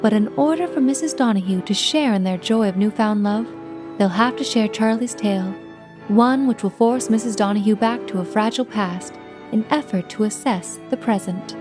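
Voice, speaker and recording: A woman playing a poised, poetic telephone operator who hosts and narrates a radio anthology in a florid, deliberate, theatrical manner. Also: medium pitch, music in the background, lightly acted. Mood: dreamy, poetic. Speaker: host and narrator.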